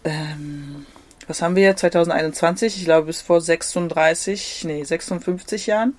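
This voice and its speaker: A woman's voice: on the low side, normal speed, darkish tone. A young woman from Germany, talking casually as an interview guest, hesitant with many fillers and frequent laughs. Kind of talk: casual conversation.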